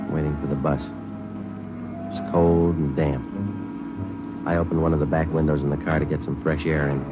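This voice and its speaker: An American actor playing a stoic police sergeant, narrating and talking in a deadpan, matter-of-fact way in a radio drama. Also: male voice, a bass voice. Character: stoic male police detective sergeant narrating his case. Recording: dull old radio recording, music behind.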